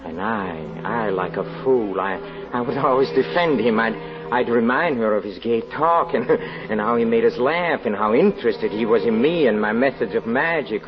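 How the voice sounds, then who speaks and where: A man acting in an old radio drama as a trusting carnival magician who haltingly tells of his own undoing, repeating words as he goes. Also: medium pitch, expressive, lightly acted. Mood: haunted, rueful. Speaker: narrator and lead actor. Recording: dull old radio recording, music behind.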